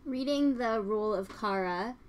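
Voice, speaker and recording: A woman's voice: fairly high pitch, moderate pace, smooth, rough recording. A woman co-hosting a podcast in casual, conversational chat. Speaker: podcast co-host.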